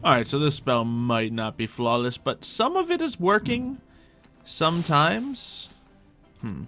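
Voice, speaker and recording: A man's voice: fairly high pitch, big pitch swings, unhurried, pushed and bright. Chatty man thinking aloud, music behind. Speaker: gaming video host playing in character. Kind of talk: casual improvised Minecraft roleplay commentary.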